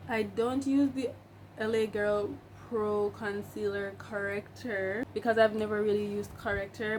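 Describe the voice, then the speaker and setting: A young woman, hoarse while getting over the flu, talks through a makeup tutorial in a chatty, emphatic way with joking asides. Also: mid-range voice, a little mellow, a little hiss or hum. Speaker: makeup vlogger, licensed cosmetologist.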